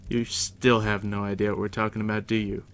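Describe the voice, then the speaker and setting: A man softly near-whispering in an ASMR roleplay as a game master, casual and unhurried with a dry, teasing manner. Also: clean tone. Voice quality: deep, hushed near-whisper. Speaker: ASMR roleplay host, playing a dungeon master guiding a new player. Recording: steady background noise, crackling fire ambience. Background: fire crackle.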